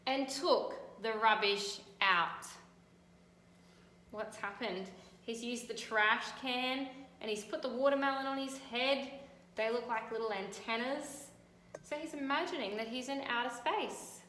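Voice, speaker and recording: An Australian woman teacher reading a picture book aloud and gently pointing out details in the pictures. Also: on the high side, unhurried. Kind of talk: storybook read-aloud with commentary on pictures. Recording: echoey room, faint background noise.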